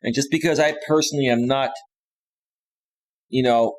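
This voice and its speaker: A man's voice: mid-range voice, steady tone, normal speed. A man hosting a live stream, talking off the cuff and casually, with slangy, repetitive, insistent direct address. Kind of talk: casual off-the-cuff livestream monologue.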